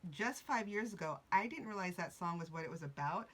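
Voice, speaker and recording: A woman's voice: fairly low pitch, lively intonation, a little fast, a bit bright. Woman in her forties, podcast host, chatty, laughing, rambling tangents. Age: 40s. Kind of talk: casual chat. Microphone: podcast mic, video recorded.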